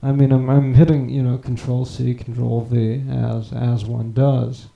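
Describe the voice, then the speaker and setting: A man rambling on a live stream, stammering and halting, with wordy, formal phrasing. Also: mid-range voice, level tone, creaky, echoey room, lo-fi sound. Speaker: prank call stream host.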